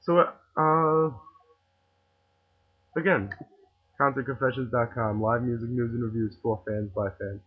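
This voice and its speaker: Husky New York man ranting. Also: medium pitch, steady tone, a little slow, pauses often. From New York City. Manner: opinionated, sarcastic, rambling with restarts. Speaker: podcast host. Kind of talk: casual ranting monologue. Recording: tinny phone-like audio.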